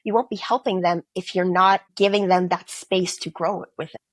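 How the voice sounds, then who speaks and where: A teenage girl, an exchange student, telling her story in a casual interview, animated and quick to quote people. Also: fairly low pitch, no room sound. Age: teens.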